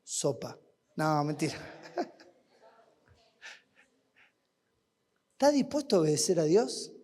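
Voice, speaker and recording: A man with an Argentine accent preaching a sermon at a youth camp, asking rhetorical questions and swinging between loud and hushed. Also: a little high, big pitch swings, drawn-out words. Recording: long hall reverb.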